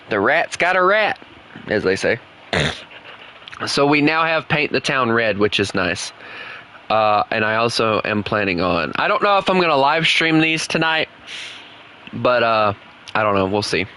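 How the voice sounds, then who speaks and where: A man's voice: on the high side, big pitch swings, measured pace, long pauses, pushed and bright, clean tone. A young man with a Southern US accent, chatting casually and rambling while he plays a game. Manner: rambling, chatty, laughs often. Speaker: gaming streamer. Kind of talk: casual gaming chat.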